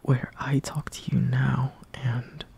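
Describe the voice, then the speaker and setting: A young man performing an intimate roleplay monologue as a lovestruck, insecure friend, in a hesitant, breathy half-whisper that trails off. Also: mid-range voice, completely flat delivery, slow pace, mellow. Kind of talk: soft intimate roleplay monologue, partly improvised.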